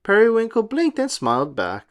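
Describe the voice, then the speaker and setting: Animated, expressive children's storybook reader. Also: medium pitch, moderate pace. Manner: expressive, sing-song storytelling. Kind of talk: animated read-aloud of a children's picture book.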